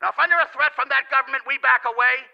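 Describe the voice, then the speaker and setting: A man's voice: very high-pitched, steady tone, fast talker, creaky, highs cut off. A man playing a combative, blunt, insistent newspaper editor in an audio drama. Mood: defiant.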